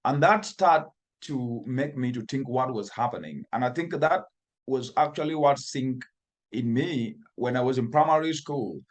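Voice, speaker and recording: A man from Cameroon with a Cameroonian accent, giving earnest, emphatic interview answers as an environmental expert and building his phrases through repetition. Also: mid-range voice. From northwestern Cameroon.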